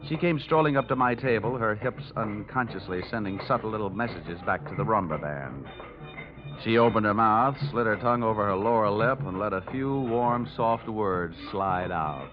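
An actor playing a wisecracking, hard-boiled private investigator in an old radio drama, with a wry deadpan delivery. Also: male voice, on the low side, moderate pace, lightly acted. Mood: wry narrating. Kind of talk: old-time radio detective drama, narrated and acted. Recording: muffled vintage radio recording with music.

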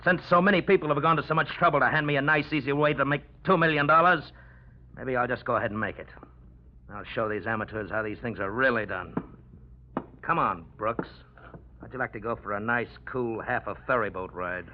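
A man's voice: on the low side, normal speed, natural voice. A man playing a jealous club-owner heavy in an old radio crime drama, talking in cold, slangy threats. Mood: menacing. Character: menacing, jealous male club-owner tough guy. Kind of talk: 1940s-style radio crime drama acting. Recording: dull, faintly noisy old radio recording.

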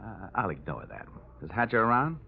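Low-voiced, wisecracking American radio detective. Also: male voice, sing-song, brisk, creaky, a little hiss or hum. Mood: brisk, flip. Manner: dry, wry, hard-boiled. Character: wisecracking, world-weary male private investigator. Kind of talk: 1940s radio detective narration and acting. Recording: muffled old radio drama recording.

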